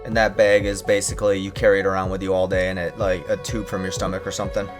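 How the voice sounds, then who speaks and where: A man's voice: sits low, little pitch movement, fast, soft background music. A man hosting a casual interview, asking short questions and murmuring agreement while listening. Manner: brief questions and quiet murmured backchannels. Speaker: host.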